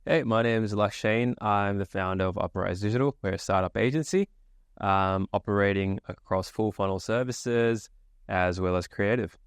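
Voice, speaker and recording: A man's voice: on the low side, moderate pace, clear, resonant tone, dry recording, studio quality. A young man from Sri Lanka, a guest on an interview podcast, telling his story in an easygoing, casual way with plenty of fillers. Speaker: guest, agency founder. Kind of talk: relaxed interview answers.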